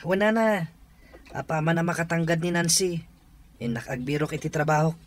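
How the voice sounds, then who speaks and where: A man's voice: fairly high pitch, fairly quick, lightly acted. Man playing an anxious young Ilocano father. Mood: subdued, worried. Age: young adult. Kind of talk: Ilocano radio drama acting.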